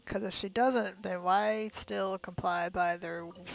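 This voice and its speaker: A woman's voice: mid-range voice, fairly even intonation, unhurried, creaky. A woman game streamer giving casual live commentary in an informal, slangy, sweary manner. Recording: dull lo-fi stream, game music behind.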